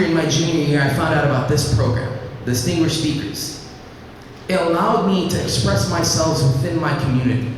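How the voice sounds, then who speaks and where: A teenage boy from Iraq, raised in Lebanon, giving an earnest personal speech to an audience and telling his story at a measured pace. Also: fairly high pitch, slight background noise. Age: teens. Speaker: student speaker. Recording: echoey hall, mic at a distance.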